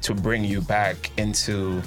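A man's voice: on the low side, flat delivery, slow, a bit bright, soft background music. A man, speaking as a guest life coach in a casual interview and telling his story in a reflective, open way. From Trinidad and Tobago.

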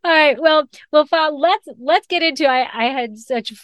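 A woman's voice: high-pitched, normal speed, fairly bright tone, dry recording. A woman hosting a podcast who talks in a bubbly way and laughs often. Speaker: podcast host and sommelier. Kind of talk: podcast intro and casual interview.

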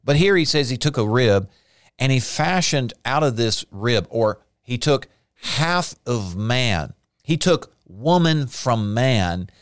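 Male Bible teacher, sermon delivery, clear resonant voice, emphatic. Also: mid-range voice, no echo, clean studio sound. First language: not Hebrew. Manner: explains Hebrew words, emphatic bursts.

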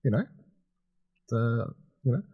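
A man's voice: medium pitch, expressive, takes it slowly, warm tone, slightly rough, no room sound. A man co-hosting a podcast, giving a casual, rambling review full of filler phrases like "sort of". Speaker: podcast co-host reviewing films.

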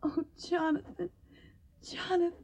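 High-voiced, anxious belle. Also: female voice, level tone, a little slow, darkish tone. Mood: distressed. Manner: breathless, pleading, hesitant. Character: earnest, anxious young Southern belle in love. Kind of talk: radio drama acting.

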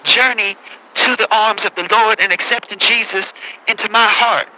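Man doing a high, rambling preacher. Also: steady tone, pushed and bright, low-quality recording. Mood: earnest. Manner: pious, halting, nervously polite. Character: earnest, rambling male preacher promoting his book. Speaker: pastor and author.

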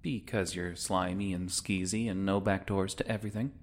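A man's voice: low-pitched, fairly even intonation, moderate pace, close to an everyday voice. An actor playing a wry young would-be freedom fighter in a sci-fi audio drama, talking in a casual, sardonic way as he bargains. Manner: casual, sardonic, informal wording. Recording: music under the voice.

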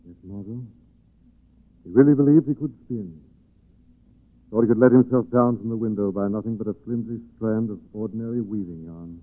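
An actor playing a sober, reflective gentleman sleuth in a 1940s radio drama. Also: lowish voice, moderate pace, long pauses, natural voice. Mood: somber, reflective. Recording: dull, narrow-band 1940s radio recording.

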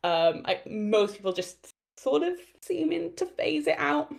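A woman from Wales with a light Welsh accent, chatting as an interview guest, hesitant, laughing often and filling pauses with ums. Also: high-pitched, very animated, creaky. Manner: hesitant, giggly, many fillers. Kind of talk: casual interview chat.

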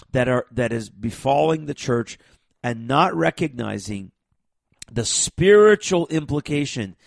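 A man with a raspy voice from a cold, hosting a program and talking forcefully to viewers, with heavy stress and stammered restarts. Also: medium pitch, no room sound. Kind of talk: emphatic monologue to viewers.

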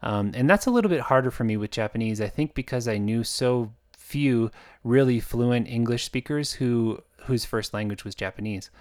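A man from the United States, an author hosting his own podcast, talking in a chatty, reflective way with fillers and soft laughs. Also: medium pitch, animated, moderate pace. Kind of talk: casual podcast monologue.

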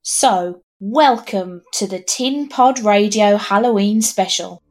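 A woman playing a reluctant hired narrator of an audio horror tale, with a deadpan, wry delivery. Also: medium pitch, slow pace, lightly acted. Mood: grudging, wry. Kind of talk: scripted narration with deadpan asides. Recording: clear background noise and ambience.

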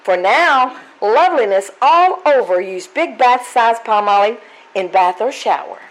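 A gushing vintage radio saleswoman played by a woman, emphatic on every selling word. Her fairly high voice swoops with great animation. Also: expressive, a little slow, heavy background noise. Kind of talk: 1940s radio commercial read.

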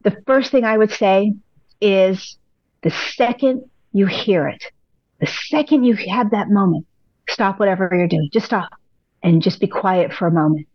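A woman, a pilates instructor, giving upbeat, encouraging advice as a podcast guest, a little hoarse from losing her voice. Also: medium pitch, measured pace, no room sound, muffled recording. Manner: upbeat, encouraging, speaks in repeated affirmations. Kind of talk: casual interview advice.

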